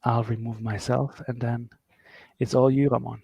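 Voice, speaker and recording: Relaxed male meetup host, gravelly tone, dry close sound, chatty and halting. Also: mid-range voice, no echo. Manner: relaxed, hesitant with many fillers. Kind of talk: casual online meetup hosting.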